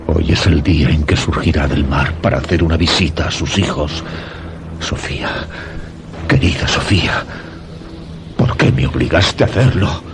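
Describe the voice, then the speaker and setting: An actor playing an obsessive, unhinged widowed master of a house in a suspense radio drama, courteous yet intense. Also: male voice, a bass voice, moderate pace, long pauses, background noise. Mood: desperate, pleading. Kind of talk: Spanish-language suspense radio drama acting.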